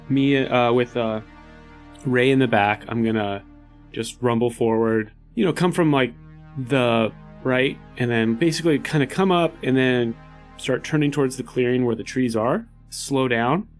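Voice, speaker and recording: A man playing a tabletop game, casually thinking aloud as he pieces his plans together. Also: mid-range voice. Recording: music behind the voice. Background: background music.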